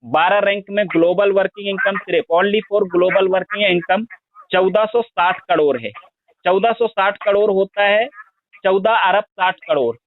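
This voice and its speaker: High-voiced man pitching over the phone. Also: unhurried, a little hiss or hum. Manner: persistent, explains at length with long lists of figures. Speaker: scheme promoter on a phone call. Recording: tinny phone line, dry.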